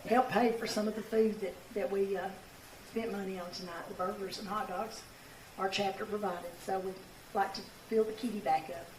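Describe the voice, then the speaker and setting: Raspy woman in an echoey hall. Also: medium pitch, steady tone, normal speed. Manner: plain, thankful, folksy. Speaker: county party chairperson. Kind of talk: closing remarks at a picnic event. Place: indoor event hall. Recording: echoey room, distant mic, noisy.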